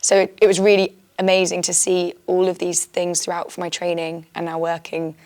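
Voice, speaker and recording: A woman's voice: fairly low pitch, completely flat delivery, brisk, darkish tone. A young British woman, a teacher, talking casually and candidly about her experience with a chatty, self-deprecating manner. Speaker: trainee-turned-early-career history teacher. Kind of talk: casual reflective conversation.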